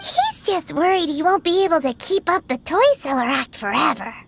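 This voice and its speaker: A woman voicing a tiny, cheeky fairy girl companion in a video game, squeaky and fretful. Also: expressive, moderate pace, creaky. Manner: squeaky, fretful, refers to herself by name. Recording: loud music behind the voice.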